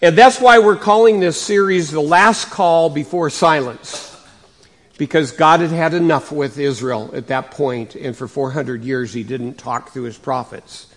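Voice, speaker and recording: A male pastor preaching a sermon in a conversational way, his voice slightly raspy from coughing, rising and getting louder to stress points. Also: a little high, unhurried. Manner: conversational, with many fillers and restarts; rises and gets louder for emphasis.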